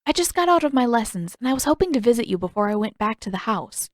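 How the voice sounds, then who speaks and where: A woman's voice: on the high side, sing-song, quick pace, dry recording, studio quality. Lady reading as a teen girl, eager n earnest, frank, casual talker, fantasy story, no room sound. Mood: eager, apologetic.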